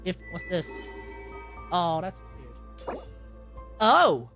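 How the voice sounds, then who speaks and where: A woman's voice: on the low side, sing-song, extremely slow, creaky, over music. A woman streamer chatting casually as she wraps up a stream, rambling with lots of "like" and laughing. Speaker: game streamer.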